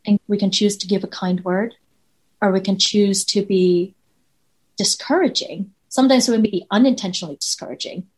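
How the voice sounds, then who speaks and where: A woman's voice: medium pitch, steady tone, moderate pace, slightly rough, rough recording. A woman with a Taiwanese accent, a professor speaking as a guest, earnest and reflective, building her points with rhetorical questions. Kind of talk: reflective interview answers.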